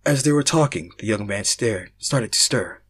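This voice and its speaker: A young man reading fan fiction aloud, casual in his chat and theatrical in his narration, swinging between hushed and loud. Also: on the low side, animated, normal speed, breathy edge. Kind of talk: casual intro and dramatic story narration.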